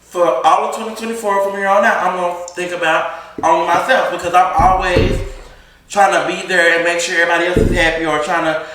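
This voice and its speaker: A man in his thirties with a high, bright voice chats bluntly on a podcast, swearing freely as he makes his point. He is recorded in a very echoey room. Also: steady tone, fast talker, a little hiss or hum. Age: 30s. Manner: blunt, profane, emphatic. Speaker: podcast co-host. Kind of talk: casual chat.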